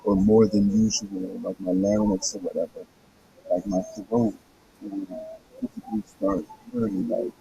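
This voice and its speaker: A hoarse man reading a book aloud with casual commentary, halting and stumbling over his words, his voice raspy. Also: low-pitched, fairly even intonation, mellow, highs cut off, a little hiss or hum. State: hoarse, throat hurting.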